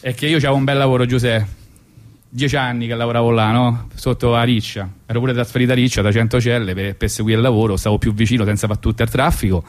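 A Roman man in his forties, a radio storyteller with a middle-pitched voice. He talks in a colloquial, conversational way, spinning ironic monologues with wry mock philosophy. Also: fairly even intonation, quick pace. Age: 40s. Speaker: radio show host and storyteller.

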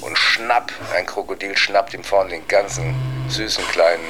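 Over clear background noise, a man with a very low voice tells a story. He speaks casually and colloquially, rambling along as he draws the tale out. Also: fairly even intonation, measured pace. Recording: noisy ambience behind the voice.

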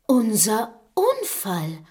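An actress playing the inquisitive, observant wife of a gentleman detective in a German radio crime mystery. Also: a little high, huge pitch swings, extremely slow, breathy edge, full character voice. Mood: intrigued, recalling. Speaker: radio play actor.

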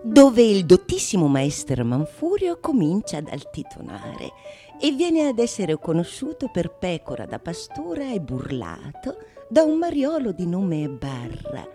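A woman's voice: on the low side, huge pitch swings, a little slow, warm tone, soft background music. A woman, a stage actress, reading scripted narration in a theatrical manner.

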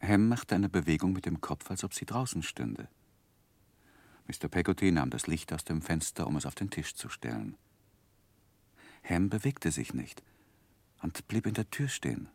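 Low male voice, dry sound, haunted storyteller, halting, solemn, vintage radio drama. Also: sing-song, creaky, darkish tone, no echo, heavily acted. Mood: grave, reflective. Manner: halting, grave, dramatic pauses. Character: grown man sorrowfully recalling his own past, reflective, haunted. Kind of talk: 1960s German radio drama narration.

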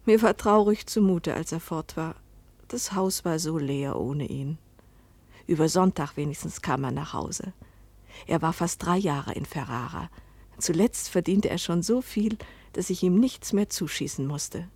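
A woman's voice: low-pitched, measured pace, a little mellow, slightly rough, dry recording, heavily acted. An actress playing a middle-aged mother who tells her life story in a restrained, wistful, intimate way in a radio drama. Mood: wistful, subdued. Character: weary, hopeful Italian mother narrating her life's disappointments. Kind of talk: radio drama first-person narration and dialogue.

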